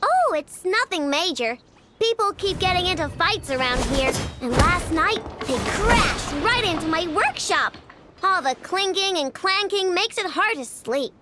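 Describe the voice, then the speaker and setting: A woman voicing a feisty young girl mechanic in a video game, informal and expressive. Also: very high-pitched, measured pace, a little hiss or hum, full character voice. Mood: weary. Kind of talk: animated video game dialogue. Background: music.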